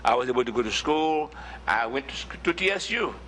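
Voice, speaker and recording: A man's voice: medium pitch, lively intonation, brisk, pushed and bright, a little hiss or hum. A man from Haiti with a Haitian accent, speaking earnestly from the audience at a public forum and checking in with "okay?". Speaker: audience member asking a question.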